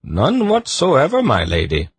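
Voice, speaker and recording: A high, husky male eunuch, put on by a man reading an audiobook, laying out grim facts in a cool, matter-of-fact way. The voice sits well above the reader's own and stays raspy. The recording is dry and close. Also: huge pitch swings, unhurried, no room sound, full character voice. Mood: grave, calm. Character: calm, precise male eunuch expert in poisons.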